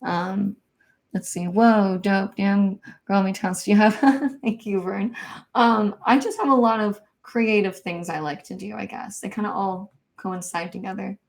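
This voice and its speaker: A young woman from Kansas chats with her livestream viewers in a soft, unhurried voice of middling pitch. She hesitates often, filling the gaps with ums and likes as she thinks aloud. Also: fairly even intonation, gravelly. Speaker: livestream host. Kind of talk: casual livestream chat, reading viewer comments.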